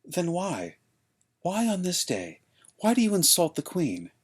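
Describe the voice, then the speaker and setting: A shaken young queen, as a man voices her in a storybook reading, sits a bit higher than his usual voice. She asks her questions with a lifted, unsettled lilt. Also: huge pitch swings, unhurried, husky, heavily acted. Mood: bewildered.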